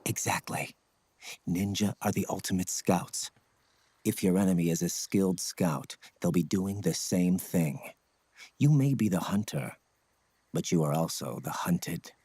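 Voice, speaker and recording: An actor playing a stoic ninja scout mentor in a video game, speaking in a grave, measured, husky voice. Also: male voice, mellow, no echo. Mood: solemn, instructive. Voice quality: low, husky. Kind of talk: dramatic video game acting.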